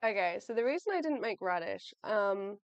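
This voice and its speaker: A woman's voice: medium pitch, lively intonation, normal speed, no echo, lo-fi sound. A young woman live-streaming as she packs shop orders. She talks in a chatty, friendly way, thinks aloud and apologizes often, and her voice is wearing out. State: losing her voice. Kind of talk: casual livestream chat. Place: home workspace, packing orders.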